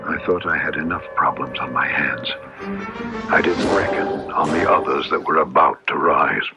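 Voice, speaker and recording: A man's voice: a bass voice, moderate pace. An actor playing an earnest, insistent man haunted by possession in an old-time radio horror play, articulate and theatrical. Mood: brooding narration. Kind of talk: old-time radio drama acting and narration. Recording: muffled vintage radio broadcast recording. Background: dramatic background music.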